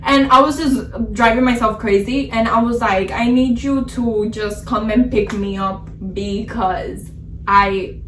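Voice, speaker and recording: A woman's voice: on the high side, steady tone, measured pace, fairly bright tone, far from the mic. A woman in her twenties talks through a personal story in a loose, candid vlog style, swearing freely and leaning on "like." She sits a little back from the mic in a room with a noticeable echo. Faint ambient noise sits under her voice. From Miami area. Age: 20s. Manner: candid, frequent swearing, fillers like "like". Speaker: vlogger telling a personal story. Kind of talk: casual storytime monologue.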